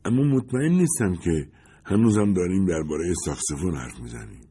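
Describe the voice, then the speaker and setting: A man's voice: low-pitched, expressive, warm tone, husky, full character voice. A man voicing a weary, polite male musician who answers with reserve.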